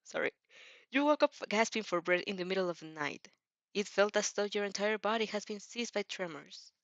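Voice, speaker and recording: A woman who is a non-native English speaker, hosting a casual fan fiction read-aloud with an informal, halting, giggly delivery and self-corrections. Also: mid-range voice, dry recording, highs cut off. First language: not English. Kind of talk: casual reaction chat and story reading.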